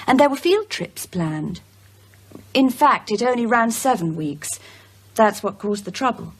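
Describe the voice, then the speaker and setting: Composed widow, husky and lively-pitched. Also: female voice, unhurried, exaggerated voice. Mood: measured, serious. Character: composed widow recounting a troubling past. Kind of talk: naturalistic radio drama acting.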